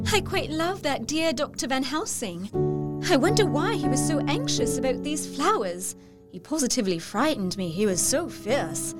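A young Victorian lady in a radio play. Her voice is fairly high, sweet and genteel, gushing with trust and gratitude, with music behind it. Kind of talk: dramatic audio drama acting.

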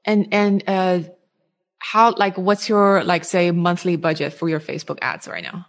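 A woman interviewing someone casually, asking questions haltingly and rephrasing them midway. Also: on the low side.

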